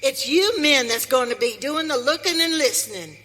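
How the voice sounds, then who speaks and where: A woman's voice: sits high, lively intonation, normal speed, bright, forceful tone. A woman playing a feisty, proud, bossy frontier woman with an Old in a radio western. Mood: defiant, proud. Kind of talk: live western radio drama acting. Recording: reverberant hall, faint background noise.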